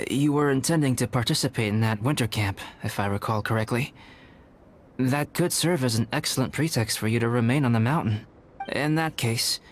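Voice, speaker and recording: A man's voice: medium pitch, a little hiss or hum, natural voice. A man playing a composed, aloof young alchemist in a video game, speaking formally and thoughtfully. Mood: calm, resolved. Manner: measured, formal, scholarly. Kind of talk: dramatic video game voice acting.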